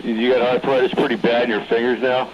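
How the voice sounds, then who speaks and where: A man's voice: mid-range voice, little pitch movement, brisk. Man on very noisy, dull CB radio. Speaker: CB radio operator. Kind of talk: casual radio chat. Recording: very noisy, muffled CB radio transmission.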